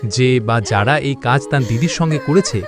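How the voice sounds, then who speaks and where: A man's voice: medium pitch, moderate pace, over music. A man narrating a scripted horror story with dramatic, suspenseful delivery.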